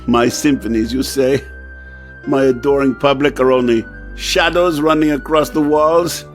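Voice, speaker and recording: An actor playing a tormented amnesiac composer in an asylum in a radio drama, halting and theatrical. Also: male voice, medium pitch, fairly even intonation, soft background music, lightly acted. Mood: bewildered, distraught. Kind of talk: old-time radio drama acting.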